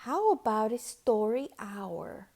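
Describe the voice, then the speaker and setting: A woman reader voices a kindly woman schoolteacher from a children's book. She sounds sweet and gentle, high and lilting, and speaks very slowly. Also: very animated, full character voice.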